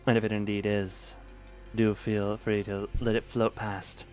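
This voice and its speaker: Man reading as a measured, formal game character. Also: lowish voice, little pitch movement, darkish tone, faint music behind, pitched below the performer's own voice, close to an everyday voice. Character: formal, probing, courteous game story character.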